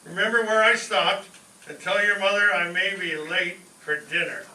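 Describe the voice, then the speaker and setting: A man playing a blustering, excitable family father with folksy bad grammar in an old-time radio comedy. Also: sits high, normal speed. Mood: alarmed. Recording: roomy, distant stage pickup with faint noise.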